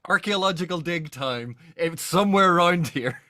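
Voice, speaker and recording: A man's voice: a little high, expressive, moderate pace, pushed and bright, no echo. Northern Irish man explaining in a dry acoustic. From Northern Ireland. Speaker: host of a book discussion. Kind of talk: animated literary discussion.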